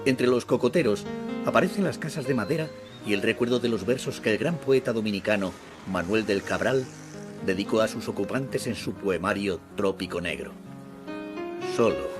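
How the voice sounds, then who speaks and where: A man reading scripted documentary narration in a measured, descriptive way. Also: fairly low pitch. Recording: voice-over with loud music underneath. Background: music.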